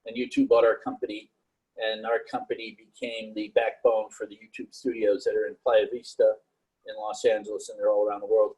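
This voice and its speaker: Halting, flat-voiced middle-aged man. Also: fairly low pitch, breathy edge, low-quality recording. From New York. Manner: monotone, halting with many fillers. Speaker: panelist. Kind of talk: casual career talk on a video call.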